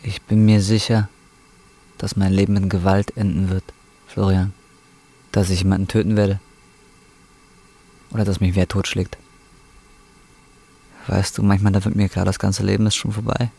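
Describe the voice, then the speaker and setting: An actor in his twenties playing a desperate, bitter, down-and-out young man in a radio drama, speaking bluntly in a husky voice. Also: deep voice, flat delivery, moderate pace, pauses often, warm tone, exaggerated voice. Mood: bleak, resigned. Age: 20s. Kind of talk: naturalistic radio drama acting.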